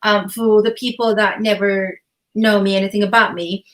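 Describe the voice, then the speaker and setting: A woman in her forties from Turkey speaks English as an in an earnest, searching way, pausing on fillers and circling back as she explains. She talks as a relationship coach in a relaxed online chat, her voice sitting at an ordinary middle pitch. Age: 40s. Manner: earnest, thinks aloud with fillers and restarts. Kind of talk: casual chat.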